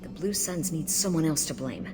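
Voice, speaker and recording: A woman's voice: sits low, normal speed, natural voice, low-quality recording. An actress playing a worried, resentful woman trapped in quarantined slums in a video game, with a wry, bitter delivery. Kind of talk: video game dialogue acting. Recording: music playing behind the voice.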